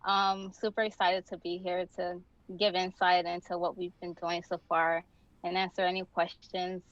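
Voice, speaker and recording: Woman, 20s, from Florida, student, monotone, lo-fi recording. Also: lowish voice, normal speed, no room sound. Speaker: design student.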